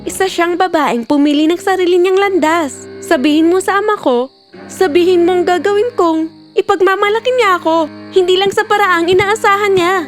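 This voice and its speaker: A woman playing a bold, defiant young princess in an animated fairy tale, speaking in a spirited, assertive, dramatic way. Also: extremely high pitch, moderate pace, soft background music. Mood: determined.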